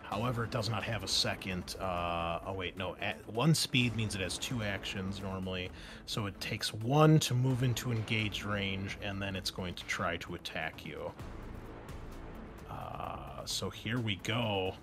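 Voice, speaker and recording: A man's voice: sits low, a little slow, music in the background. A man acting as game master, improvising narration and explaining rules in a conversational, wry way. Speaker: game master of a tabletop RPG.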